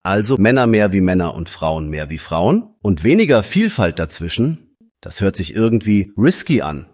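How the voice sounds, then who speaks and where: A man playing an evasive, image-conscious social media type in a satirical radio play, with a husky voice. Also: deep voice, very animated, normal speed, darkish tone. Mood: uneasy.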